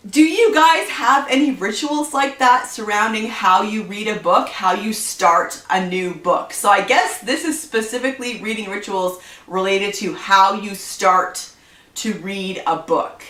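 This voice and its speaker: A woman's voice: medium pitch, measured pace, fairly bright tone, echoey room, recorded from a distance. A woman chatting casually about her reading habits on her book channel, stuffed up from allergies and rambling with lots of fillers. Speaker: book vlogger. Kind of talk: casual chat to camera.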